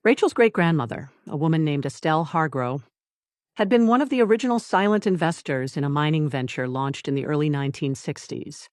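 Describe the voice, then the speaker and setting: This is a story narrator delivering scripted drama in a deliberate manner. Short phrases are set apart with pauses for emphasis. The sound is dry, with no room around the voice. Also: medium pitch, animated, no room sound.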